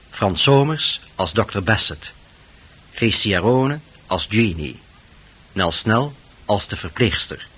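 A man's voice: lowish voice, a bit bright, husky. A man reading formal scripted closing credits as a radio drama announcer.

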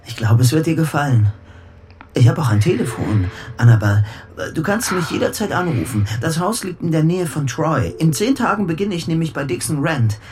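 An actor playing an obsessive, secretive bachelor in a German radio drama, intense and shifting between hushed muttering and outbursts. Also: male voice, on the high side, expressive, a little fast, lightly acted. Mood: pleading, eager.

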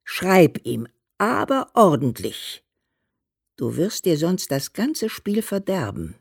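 Older mom in a radio play, low female voice, bossy and teasing, really swingy pitch, dry sound. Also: big pitch swings, slow pace, vocal fry, slightly rough, no room sound, heavily acted. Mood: mocking, scolding. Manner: admonishing, colloquial, emphatic. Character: scolding, teasing, practical old mother of a famous son. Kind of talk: dramatized letter reading in a radio play.

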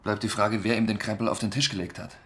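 An actor playing a patient, sober journalist with a husky voice, insistent and matter-of-fact, in a radio drama. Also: male voice, on the low side, flat delivery, quick pace, fairly bright tone. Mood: sober, grave. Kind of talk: German radio drama acting.

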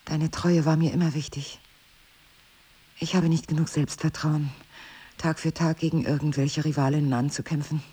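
An actress playing a guarded middle-aged wife in an audio drama, husky and breathy, tender and softly ironic. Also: female voice, sits low, flat delivery, moderate pace. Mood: insecure. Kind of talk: intimate audio drama dialogue.

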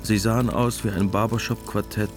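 A man's voice: fairly low pitch, fairly even intonation, brisk, lightly acted. An actor playing a sober male narrator of a literary war story in a radio play. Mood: grave. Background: music.

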